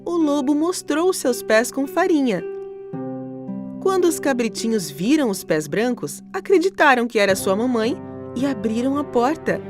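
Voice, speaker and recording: High, animated woman storyteller. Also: normal speed. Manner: expressive, dramatic storytelling. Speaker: children's story narrator. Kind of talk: scripted storybook narration. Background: music.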